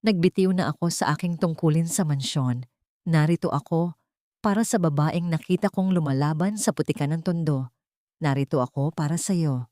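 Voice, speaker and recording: A woman voicing a loyal, serious male bodyguard in an audio drama, earnest, grave and steady. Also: low-pitched, moderate pace, no room sound, studio quality, natural voice. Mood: grave, devoted.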